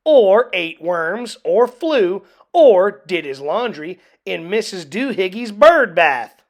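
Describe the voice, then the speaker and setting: Woman as a stern, animated mother cat. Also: mid-range voice, takes it slowly. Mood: scolding. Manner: emphatic, lecturing.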